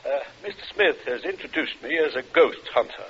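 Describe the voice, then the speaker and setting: An actor playing a solemn, earnest doctor who hunts ghosts, speaking formally and pedantically in a vintage radio drama. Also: male voice, mid-range voice, lively intonation, brisk, natural voice. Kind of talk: 1940s-50s radio drama acting. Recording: muffled, noisy old radio broadcast recording.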